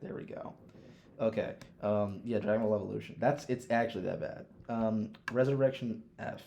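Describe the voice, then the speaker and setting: A man talking casually as a podcast host, blunt and quick to swear as he gives his opinions. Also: lowish voice, creaky, mellow. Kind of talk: casual chat, ranking films.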